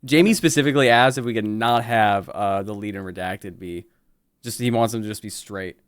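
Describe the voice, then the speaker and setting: A man's voice: on the low side, brisk, smooth, no room sound, clean studio sound. A man bantering casually on a live stream in a teasing, joking way. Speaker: stream co-host.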